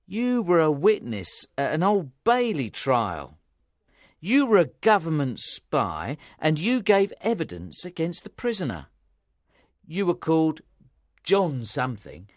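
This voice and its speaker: Slow, swooping, suspicious working man. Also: a little high, wildly swooping pitch, no room sound, clean studio sound. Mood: suspicious, probing. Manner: slow, probing, emphatic. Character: rough, suspicious working man, slowly puzzling things out. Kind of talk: dramatised audiobook acting.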